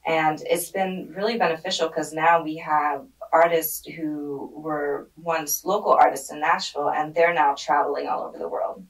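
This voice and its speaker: A young woman born in Germany and raised in Hungary, answering interview questions in a relaxed way with many fillers, on a podcast mic in a studio. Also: deep voice, normal speed, hardly any pauses, recorded from a distance, poor audio. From born in Germany, grew up in Hungary. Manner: relaxed, thoughtful, with frequent fillers. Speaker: guest, street art curator. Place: studio with dark padded walls. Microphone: podcast mic on a desk arm.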